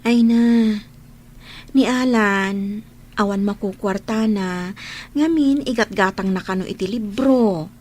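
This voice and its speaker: A woman's voice: medium pitch, slow, pauses often. A woman playing a chatty, teasing young friend in a radio drama, exclaiming often, with an Ilocano accent. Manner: animated, exclaiming.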